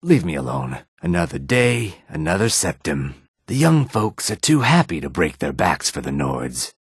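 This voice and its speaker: An actor playing a weary, guarded male lizard-folk character in a fantasy video game, speaking in a measured, formal way with a raspy, rumbling voice. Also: sing-song, no echo, studio quality, exaggerated voice. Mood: weary, irritable. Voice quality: raspy, husky, low rumbling.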